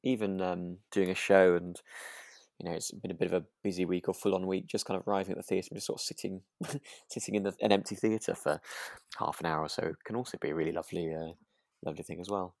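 Male co-host, low pitch, dry studio-like sound, thoughtful casual conversation. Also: flat delivery, fast talker, creaky, darkish tone, no echo. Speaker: podcast co-host. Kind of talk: relaxed casual chat.

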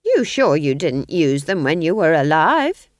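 A high, slow-drawling old witch, earthy and bossy, teasing every word, as a woman narrator puts her on. The storybook voice sits up above the reader's own and stretches its phrases out, in a dry, close recording. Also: huge pitch swings, a little mellow, dry recording, full character voice.

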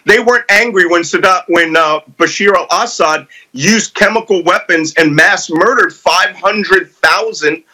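A middle-aged man with a high voice speaks as a political commentator. His tone is bright and forceful, and he argues with emphatic assurance, like a seasoned guest on a discussion panel. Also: unhurried, few pauses, rough recording. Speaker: guest commentator with military experience.

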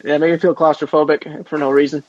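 A man's voice: on the high side, flat delivery, quick pace. A man co-hosting a casual sports podcast with slangy, rambling, opinionated banter. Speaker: podcast co-host. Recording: dull lo-fi close podcast audio.

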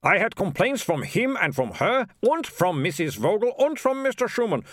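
A man's voice: high-pitched, big pitch swings, moderate pace, no echo, studio quality, pitched above the performer's own voice. A man voicing a pompous, flustered small-town mayor who blusters in a fussy, long-winded way in a storybook reading. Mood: indignant.

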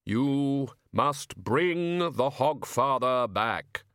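A man voicing a solemn skeletal reaper in an audiobook, speaking slowly and weightily in a matter-of-fact way. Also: medium pitch, lively intonation, a bit bright, clear, resonant tone, no echo, studio quality, pitched above the performer's own voice. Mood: solemn, insistent. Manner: slow, weighty, measured delivery.

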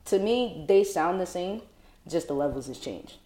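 A woman's voice: fairly low pitch, animated, normal speed, mellow, roomy sound. A young woman from New Jersey, a guest artist, chatting informally and slangily in an interview. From East Orange, New Jersey, USA. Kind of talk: casual interview chat.

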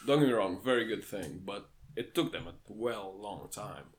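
A man's voice: lowish voice, animated, normal speed. A man co-hosting a casual podcast chat, speaking in a dry, matter-of-fact way and laughing along. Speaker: podcast co-host.

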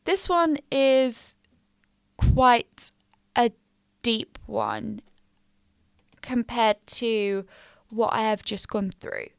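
A woman of twenty, hosting a casual solo podcast in a small quiet room, candid and upbeat with slangy asides. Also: a little high, very slow, creaky, long pauses, smooth, dry recording, clean studio sound. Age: 20s. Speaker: podcast host. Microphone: studio mic.

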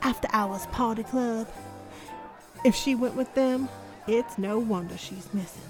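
An actress playing a sly, slangy 1930s speakeasy gal in a noir audio drama, with playful drawn-out speech. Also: female voice, a little high, lightly acted. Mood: gossipy. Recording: music behind the voice.

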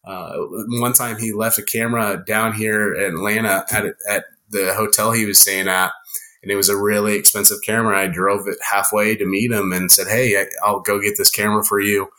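A man's voice: on the low side, little pitch movement, a little fast, sharp tone, poor audio. A man telling friendly stories as a podcast guest in casual chat, rambling with many restarts and fillers.

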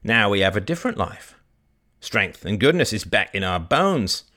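A man voicing a blunt old rustic farmer who speaks in a folksy, deliberate way. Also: on the low side, big pitch swings, bright, forceful tone. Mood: gruff persuasion.

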